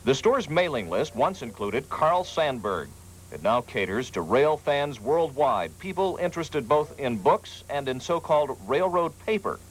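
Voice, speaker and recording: An American man narrates a TV news feature in a scripted style. His pitch moves up and down with plenty of variety at a middle register. Faint noise sits behind him, as on a 1980s broadcast. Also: big pitch swings, unhurried, low-quality recording. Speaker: TV news reporter.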